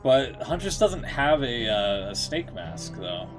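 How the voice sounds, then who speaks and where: A man's voice: medium pitch, sing-song, slow pace. A man giving casual reaction commentary as a game streamer, blunt, slangy and profane in how he talks. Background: music.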